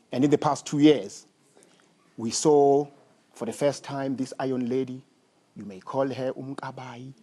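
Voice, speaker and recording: A man hosting a studio interview, speaking in slow, emphatic phrases and mixing in Zulu. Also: medium pitch, dark tone, husky. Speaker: talk show host.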